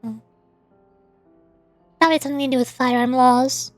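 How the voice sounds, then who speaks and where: A woman's voice: sits high, steady tone, normal speed, dry recording. A woman giving casual, playful game commentary, often thinking aloud hesitantly as she goes. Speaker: streamer voice-acting along with a video game. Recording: music behind the voice. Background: game music.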